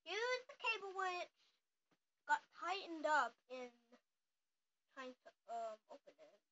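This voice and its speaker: A casual, excitable host chatting through a home unboxing, quick to argue and interrupt. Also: very high voice. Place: small room at home, indoors. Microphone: handheld camera or phone. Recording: noisy, dull handheld camera audio.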